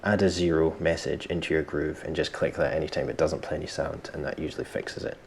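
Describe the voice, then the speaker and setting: Very deep, gravelly-voiced man teaching software. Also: steady tone, fast, slight background noise. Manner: informal, self-deprecating, thinking aloud. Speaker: tutorial presenter. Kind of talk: casual screen-recorded software tutorial.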